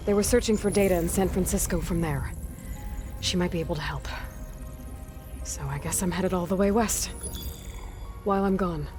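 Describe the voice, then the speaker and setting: A woman playing a determined, quick-thinking young heroine in a video game, earnest and probing. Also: fairly low pitch, close to an everyday voice. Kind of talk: scripted video game cutscene acting.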